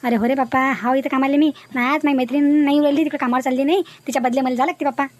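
A woman voicing a homely dishwasher auntie with a Vidarbha Marathi accent in an animated moral story, folksy and plainspoken. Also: high voice, very fast, lightly acted. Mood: weary, explaining.

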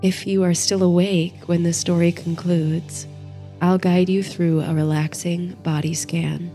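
Female sleep-story guide: soft mellow tone, very unhurried, hushed and calming delivery. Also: fairly low pitch. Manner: soothing, hushed, gentle, unhurried. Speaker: host and narrator of a sleep story series. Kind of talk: bedtime story and guided meditation narration. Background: faint music.

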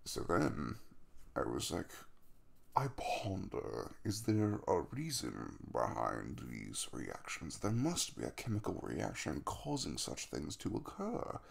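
Young male crush played by a man for intimate audio roleplay: low, very creaky, easygoing, caring, teasing. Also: huge pitch swings, slow, heavily acted. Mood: playful, teasing. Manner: rambling, casual, jokey and reassuring. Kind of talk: intimate ASMR boyfriend roleplay, conversational.

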